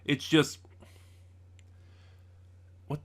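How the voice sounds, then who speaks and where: A man hosting a casual spoken review, thinking aloud in a halting way and often backtracking to rephrase himself. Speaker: audio drama reviewer, channel host.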